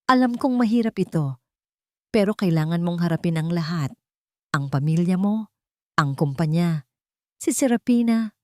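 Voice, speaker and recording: A woman voicing a gentle but steadfast young woman in a love drama, soft yet firm. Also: on the low side, animated, moderate pace, pauses often, no echo, clean studio sound, close to an everyday voice. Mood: concerned.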